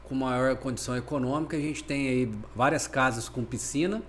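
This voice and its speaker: A man hosting a podcast interview, asking questions in a halting way with restarts. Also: medium pitch, slight background noise. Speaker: podcast host.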